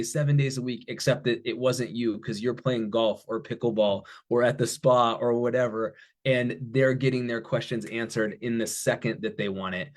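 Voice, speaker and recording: A man speaking as a business executive guest, giving an informal promotional talk in an enthusiastic, emphatic, conversational way. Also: mid-range voice.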